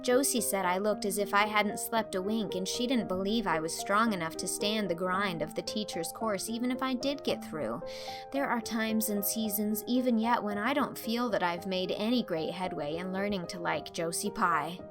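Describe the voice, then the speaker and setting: A woman's voice: mid-range voice, moderate pace, music in the background, close to an everyday voice. A woman playing a dramatic, anxious, chatty teenage schoolgirl in a storybook reading, speaking earnestly and wordily. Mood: earnest, breathless.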